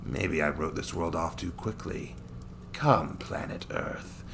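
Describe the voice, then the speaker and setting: A man acts out an arrogant alien warrior villain from an action story. The voice sits low and sneers with contempt, stretching words into slow mutters. A faint noise runs behind it. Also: vocal fry, heavily acted. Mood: intrigued, menacing.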